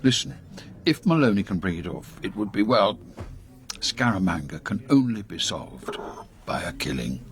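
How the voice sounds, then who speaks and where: An actor playing an authoritative older British spy chief, brisk and ruthless, with clipped, formal delivery in a radio drama. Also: male voice, on the low side, sing-song. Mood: grave, resolute.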